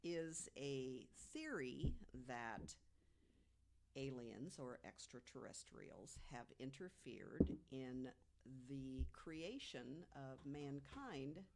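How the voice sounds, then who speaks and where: A woman's voice: extremely low pitch, expressive, drawn-out words, vocal fry, very noisy. A woman speaking casually as a podcast guest, explaining things in a good-humored storytelling way. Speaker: guest panelist.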